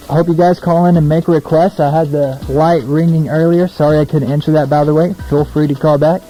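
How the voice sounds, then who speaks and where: A man's voice: a little high, dark tone, low-quality recording. A young American man hosting a casual radio show, chatty, informal and self-deprecating between songs. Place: small radio studio, alone.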